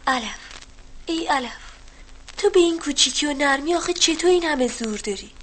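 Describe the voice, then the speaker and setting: A woman plays a tiny, earnest sparrow in a children's storybook tale, calling out her questions in a high voice. Every line is eager. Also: expressive, fairly bright tone, a little hiss or hum. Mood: questioning. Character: small bold pleading sparrow. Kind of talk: children's audio story acting.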